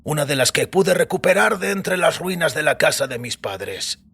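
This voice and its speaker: A man voicing a weary, ceremonious old priest in audio fiction, raspy and creaky, slow and savoring as he instructs. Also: on the high side, big pitch swings, fairly bright tone, voice pushed up, exaggerated voice. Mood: wistful. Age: older.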